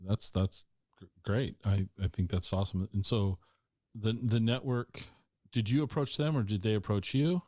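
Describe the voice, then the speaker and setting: A man's voice: lowish voice, moderate pace, a little mellow, dry recording, studio quality. A man hosting a podcast interview, asking curious, probing questions with frequent restarts. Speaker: podcast host. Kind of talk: casual interview conversation.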